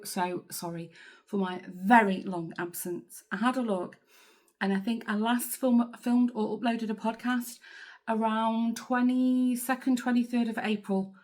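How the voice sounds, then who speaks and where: A middle-aged woman from England, hosting a crafting podcast in a chatty, rambling way from home. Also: on the high side. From England (Manchester). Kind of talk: casual chat to camera.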